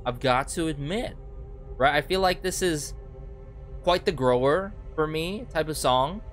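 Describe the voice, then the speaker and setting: A man's voice: fairly high pitch, measured pace. A young man in his twenties hosting a casual music video reaction from a home setup, chatty and full of rhetorical asides. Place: bedroom home studio, indoors. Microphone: headset headphones with a desk boom mic. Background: song playing faintly.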